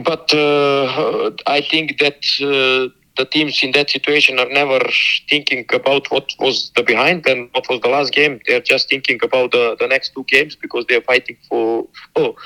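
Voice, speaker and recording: Coach, male, bright tone, guarded and halting answers. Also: medium pitch, fairly even intonation, rough recording. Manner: halting, repeats words, careful and guarded. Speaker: football coach. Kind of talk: phone interview.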